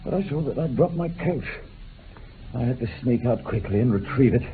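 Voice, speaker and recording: A man's voice: medium pitch, animated, lightly acted. Actor playing a tormented old man, tinny radio drama. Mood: desperate. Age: older. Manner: impassioned, halting confession. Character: remorseful dying old ex-bushranger confessing his crime. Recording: muffled phone-like old radio recording, hiss.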